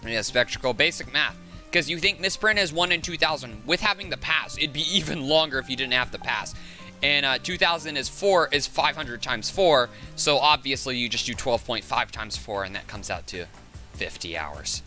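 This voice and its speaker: A man with a raspy, hoarse voice chatting casually on a game livestream, rambling and thinking aloud. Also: medium pitch, expressive, moderate pace, pushed and bright, soft background music. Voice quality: raspy, sore voice. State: hoarse from hours of talking. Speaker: game streamer, host. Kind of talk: casual livestream chat while gaming.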